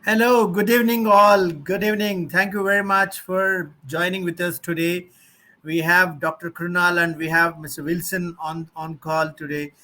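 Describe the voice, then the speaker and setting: A man's voice: high-pitched, fairly even intonation, moderate pace, bright, forceful tone. A man hosting a live online webinar, talking with many fillers and restarts. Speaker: webinar host.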